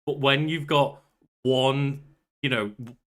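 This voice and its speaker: A man's voice: mid-range voice, steady tone, slow pace, a bit bright. A man, around his thirties, musing and rambling casually as a podcast co-host. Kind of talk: casual banter.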